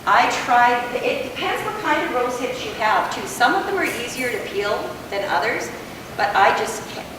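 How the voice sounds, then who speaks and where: Loud chatty older woman in echoey hall. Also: fairly high pitch, animated, normal speed. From Canada. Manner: loud, chatty, many fillers. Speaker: workshop presenter. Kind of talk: casual hands-on talk to an audience. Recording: echoey hall, voice far from mic, background noise.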